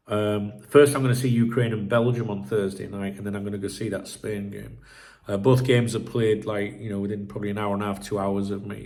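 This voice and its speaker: A man's voice: on the low side, creaky. A man with a blocked nose hosting a casual football commentary video, rambling and frank, swearing freely. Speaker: football channel host.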